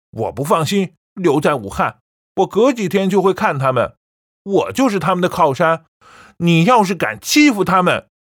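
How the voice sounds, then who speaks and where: A woman's voice: low voice, husky, no echo, studio quality, exaggerated voice. A woman voicing a defiant young man who talks back to his mother in a cold, scornful, lecturing way.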